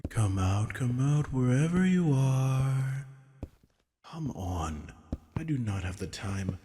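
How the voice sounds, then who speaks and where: A man playing a possessive, sardonic vampire king in an intimate roleplay audio drama, teasing and condescending with a husky, breathy edge. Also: medium pitch, big pitch swings, slow pace, dark tone, slight background noise. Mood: taunting, impatient. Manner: teasing, condescending, casually profane.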